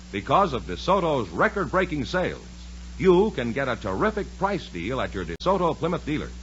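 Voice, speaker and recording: A man's voice: mid-range voice, a bit bright. A man with an American accent announcing in a polished, emphatic 1950s radio advertising style. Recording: muffled 1950s radio broadcast, faint noise.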